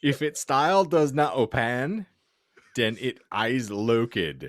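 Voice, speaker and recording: A male podcast host giving a theatrical, mock-serious comedic reading of fan fiction with deliberate delivery. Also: medium pitch, expressive, no room sound, clean studio sound.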